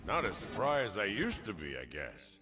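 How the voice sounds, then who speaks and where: An actor playing a gruff old veteran warrior in a video game, gravelly, with a dry, sarcastic, folksy delivery. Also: male voice, fairly low pitch, huge pitch swings, moderate pace, sharp tone, faint music behind, exaggerated voice. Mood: sardonic.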